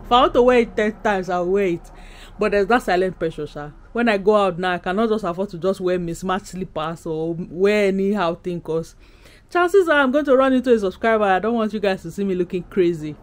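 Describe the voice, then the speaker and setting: A woman's voice: mid-range voice, lively intonation, fairly quick. Woman, thirties, Nigerian accent, casual vlog, candid, conversational, emphatic, camera at arm's length. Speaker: vlogger.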